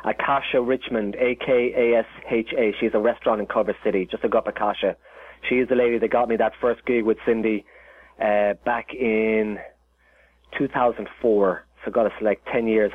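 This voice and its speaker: A man's voice: on the low side, fairly even intonation, brisk, breathy edge, no room sound. A man with an Irish accent, a chef talking as a guest in a casual interview, rambling with many restarts and fillers. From Ireland. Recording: tinny phone line.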